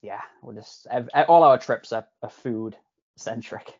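A man's voice: mid-range voice, a little slow, breathy edge, no echo. A man speaks with a Yorkshire accent that has picked up a Canadian tinge along the way. He talks in a loose, chatty way, restarting his sentences and swearing now and then. The recording is dry and a little muffled. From England. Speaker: podcast guest. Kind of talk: casual podcast conversation.

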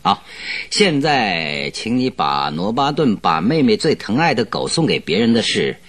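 An actor in a radio drama playing a composed, dryly amused male detective who questions in a measured, concise way. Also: fairly low pitch, big pitch swings, a bit bright, exaggerated voice. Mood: cool, inquisitive.

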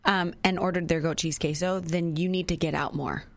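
A woman's voice: on the low side, fairly even intonation, moderate pace. A woman in her thirties co-hosting a casual radio-style podcast, sleep-deprived and trading dry, teasing quips. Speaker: podcast co-host. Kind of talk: casual radio-show chat. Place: office studio.

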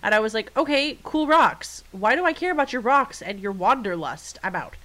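Congested woman co-host, bright forceful tone, rambling jokey delivery. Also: on the high side, lively intonation, moderate pace, low-quality recording. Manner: chatty, jokey, rambling storytelling. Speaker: podcast co-host. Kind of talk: casual chat.

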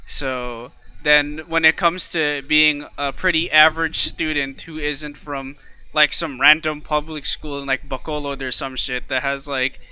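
Bright-voiced emphatic young man. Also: on the high side, measured pace, smooth. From Philippines. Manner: emphatic and animated, pushing his voice up when making a point. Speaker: podcast co-host. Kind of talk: casual chat.

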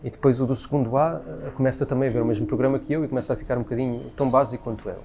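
A man's voice: mid-range voice, steady tone, rapid-fire, vocal fry, mellow. A man with a European Portuguese accent talking spontaneously and giving his opinions in an emphatic, repetitive way. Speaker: speaker sharing opinions in a conversation.

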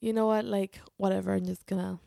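Creaky-voiced woman chatting casually. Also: mid-range voice, little pitch movement, dark tone, no room sound, clean studio sound. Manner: informal, halting, restarts sentences and quotes herself often. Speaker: vlogger. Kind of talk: casual personal storytelling to camera.

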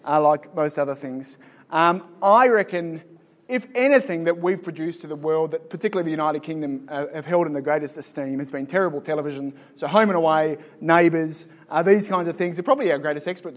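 A man in his thirties from Sydney with an Australian accent, a joking, self-deprecating guest telling his life story to a live audience. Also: on the high side. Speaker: guest speaker. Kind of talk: live interview and personal testimony to an audience.

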